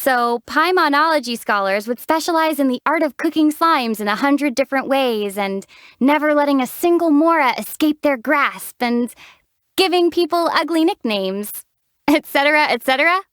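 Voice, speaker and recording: A young woman voicing a calm, dry-witted heroine in a game, teasing her companion in a deadpan way. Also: sits high, normal speed, no room sound. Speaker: player character, traveler heroine. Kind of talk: game voice acting.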